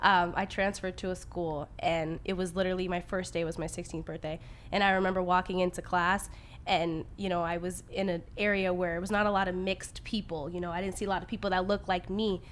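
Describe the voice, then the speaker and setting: A woman's voice: fairly low pitch, flat delivery, a little fast, a little hiss or hum. A chatty young woman in her twenties from Los Angeles, full of "like"s, chatting as a podcast guest. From Los Angeles, California. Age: 20s. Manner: chatty, many "like"s. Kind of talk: casual chat.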